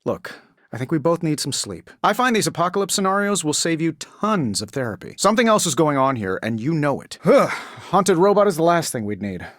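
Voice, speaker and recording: An actor voicing a cocky, wisecracking genius inventor superhero in a video game, with an American accent and a sarcastic, glib delivery. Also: male voice, fairly high pitch, big pitch swings, brisk, fairly bright tone, dry recording, studio quality. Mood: playful, wry. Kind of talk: video game character voice lines.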